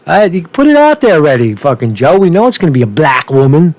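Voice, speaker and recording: Foul-mouthed man rambling, higher-pitched voice. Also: big pitch swings, fast talker, a little mellow, no echo. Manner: profane, slangy, repetitive and stumbling. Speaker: host of his own news commentary show. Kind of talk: casual rambling news commentary.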